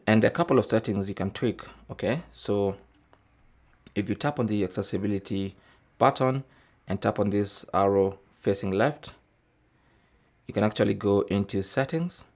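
A man's voice: fairly low pitch, darkish tone. A man presenting a tech tutorial, narrating step by step in a methodical, informal way. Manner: methodical, informal, often tags phrases with "okay".

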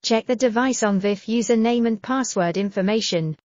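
A woman reading a scripted software tutorial in a measured, instructional manner. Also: fairly high pitch, no echo, muffled recording. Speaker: tutorial narrator.

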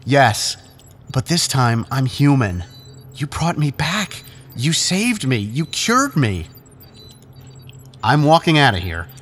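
An actor playing an anguished, defiant brother and reluctant accomplice in an audio drama, pleading and emphatic. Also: male voice, mid-range voice, big pitch swings, slow, pauses often, a bit bright, slight background noise. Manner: pleading, emphatic, stammering when upset. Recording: long cavernous reverb.

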